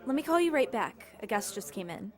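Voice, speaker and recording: An actress playing a chatty, casually sarcastic young motel desk clerk in an audio drama. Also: female voice, fairly high pitch, sing-song, a little fast. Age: young adult. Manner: casual, sarcastic, then terrified.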